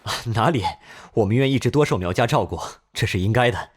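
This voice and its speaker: A man voicing a courteous, deferential hospital doctor with a husky voice in an animated crime drama. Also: medium pitch, fast talker. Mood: agreeable, obliging.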